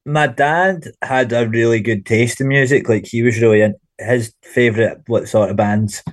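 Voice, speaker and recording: A man's voice: medium pitch, fairly even intonation, normal speed, no room sound. A Scottish man with a Glasgow accent, a podcast guest chatting informally in slang with the odd swear word. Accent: Glaswegian Scottish. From Glasgow, Scotland. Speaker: podcast guest, rock band frontman. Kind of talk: casual chat.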